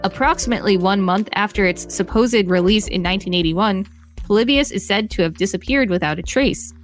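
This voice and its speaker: A woman's voice: medium pitch, fairly quick, soft background music. A woman co-hosting a podcast, reading an article aloud in an upbeat way with some banter. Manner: upbeat, reads lists excitedly.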